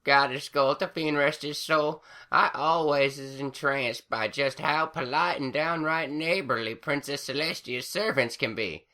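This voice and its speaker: A man's voice: on the high side, unhurried, hardly any pauses, sharp tone, pitched above the performer's own voice. A man voicing an elderly farm mare grandmother with a cracking old-lady voice and a put-on drawl. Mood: fond.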